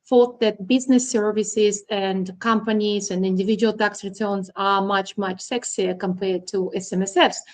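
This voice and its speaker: A middle-aged woman from Russia, a guest accountant, explaining finance plainly with frequent ums and uhs. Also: medium pitch. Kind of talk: explaining to an interviewer.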